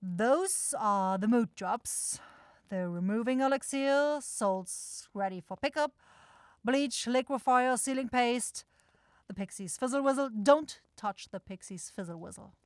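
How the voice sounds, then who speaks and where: A woman's voice: fairly high pitch, a little slow, dry recording, natural voice. Casting: woman voicing a demon woman supervisor, brusque, exasperated, sarcastic; fantasy roleplay, tight dry recording. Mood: brisk, instructing. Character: bossy, grumbling demon woman shopkeeper, sweary supervisor. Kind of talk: fantasy audio roleplay acting.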